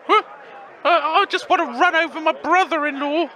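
A man's voice: very high-pitched, normal speed, sharp tone, a little hiss or hum. A man playing a simple, earnest, eager wasteland punter in a comedic radio drama.

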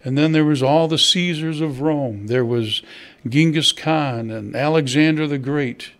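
A man's voice: fairly high pitch, a little slow, fairly bright tone. An older man, a pastor, preaching a sermon at a church pulpit, conversational and asking his listeners questions. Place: church hall at a wooden pulpit. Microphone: stand mic at the pulpit.

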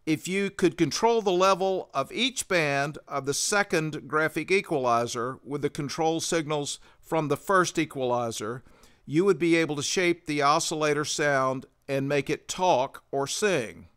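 Clear-voiced man narrating a tutorial. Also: fairly high pitch, measured pace, a bit bright. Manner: methodical, instructional. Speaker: tutorial presenter. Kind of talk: scripted explanatory narration.

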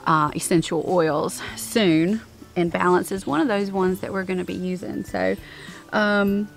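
A woman's voice: on the low side, steady tone, measured pace, creaky, soft background music. A woman in her thirties vlogging outdoors on a lawn, talking casually with many ums while showing products to a handheld camera. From American. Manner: chatty, many ums, upbeat about products. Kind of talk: casual product show-and-tell. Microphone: handheld camera at arm's length.

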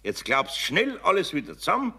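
An actor playing a grumbly but kindly older master craftsman with a Bavarian accent and a raspy voice, in a children's radio play. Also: high voice, wildly swooping pitch, moderate pace, pushed and bright, heavily acted.

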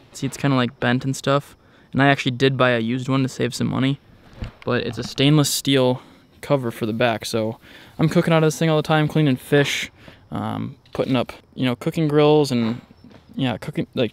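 Male vlogger, clean smooth voice, informal off-the-cuff walkaround delivery recorded outdoors. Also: medium pitch. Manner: rambling, informal. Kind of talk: casual walkaround narration. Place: outdoors in a driveway beside a truck.

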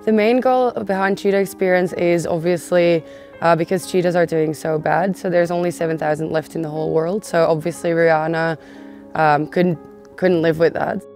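Woman late 20s, low flat voice, music behind. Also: moderate pace. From Belgium. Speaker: volunteer coordinator. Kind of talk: documentary interview.

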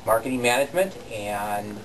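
A man who teaches and works in marketing introduces himself informally in a halting way. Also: on the low side, expressive. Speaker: college marketing staffer and teacher. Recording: noisy, slightly distant camera microphone.

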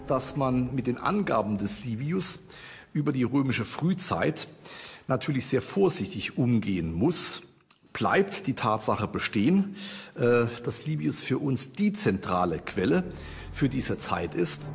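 A man's voice: mid-range voice, sing-song, measured pace, creaky, breathy edge, soft background music. A man speaking as a historian, explaining carefully in a documentary interview.

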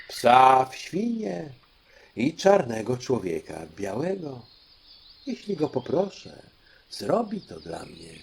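Man playing a slow, regal fairy queen. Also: mid-range voice, darkish tone, slight background noise, pitched above the performer's own voice. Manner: measured, deliberate, theatrical. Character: fairy queen granting royal children's dreams, female, composed. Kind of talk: audiobook storytelling.

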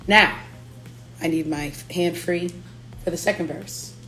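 A young woman, a singer-songwriter, chatting playfully on a livestream from her living room, her voice raspy from allergies. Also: fairly low pitch, unhurried, bright, forceful tone, faint music behind, rough recording. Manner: chatty, playful, breaks into lyrics. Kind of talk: casual livestream chat. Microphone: phone camera at arm's length.

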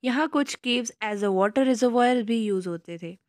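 A woman with an Indian accent reading a measured, informative travel voice-over, mixing in English terms. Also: fairly high pitch, darkish tone, dry recording, clean studio sound. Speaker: vlogger narrating a travel guide. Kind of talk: scripted informational voice-over narration.

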